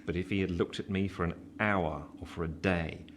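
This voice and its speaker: A man plays the narrator of a radio drama, looking back soberly on his boyhood. The voice is deep and reads as scripted storytelling, with clear noise behind it. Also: steady tone, normal speed, lightly acted. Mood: reflective, dramatic. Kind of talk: scripted first-person narration in a radio play. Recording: noisy background ambience.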